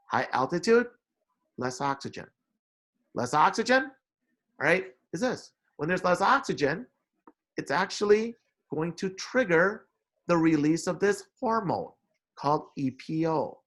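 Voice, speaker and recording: A man's voice: on the high side, fairly bright tone. A man explains material in an informal lecture, often checking in with "right?" and repeating key phrases. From Indonesia, moved to America at eight. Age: 40s. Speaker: lecturer, science instructor.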